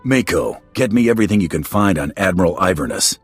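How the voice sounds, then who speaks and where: A man playing a gruff, menacing bounty hunter in a video game, curt and blunt, with a gravelly, husky voice. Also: sits low, very animated, quick pace, no room sound, faint music behind, full character voice. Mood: businesslike. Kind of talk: video game cutscene acting.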